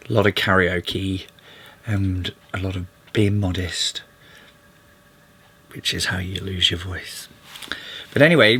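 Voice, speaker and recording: A man talking casually in a vlog, hoarse after losing his voice, chatty and rambling with fillers. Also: low voice, bright, forceful tone. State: hoarse, lost voice. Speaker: vlogger. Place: narrowboat.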